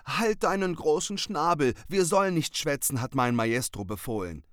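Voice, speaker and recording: A man's voice: medium pitch, huge pitch swings, very slow, creaky, pushed and bright, husky, no room sound, studio quality, pitched above the performer's own voice, heavily acted. A man voicing a small, proud male tomcat who talks in a stern, bossy, pompous way.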